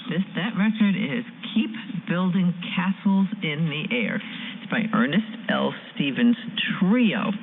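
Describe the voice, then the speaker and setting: A woman's voice: lowish voice, expressive, measured pace. A woman co-hosting a radio show, chatting casually and announcing records on a muffled, noisy broadcast.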